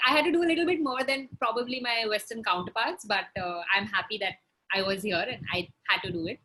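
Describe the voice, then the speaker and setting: A woman's voice: medium pitch, pushed and bright, low-quality recording. A young woman speaks Indian English in a conversational way. She laughs lightly between points and uses a few fillers. There is a faint noise under her voice. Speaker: guest, blogger. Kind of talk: conversational interview answers.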